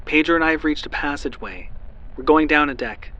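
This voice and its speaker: A man voicing a woman diver who reports in over the comms in a brisk, matter-of-fact way. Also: on the high side, sharp tone, muffled recording, voice pushed up.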